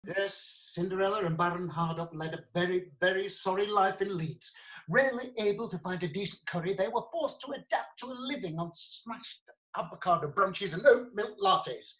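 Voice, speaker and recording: Earnest prosecuting lawyer for comic courtroom audio drama, female actor, medium pitch, formal storytelling delivery with theatrical touches. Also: moderate pace, close to an everyday voice, rough recording. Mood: dramatic storytelling. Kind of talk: comic courtroom radio play acting.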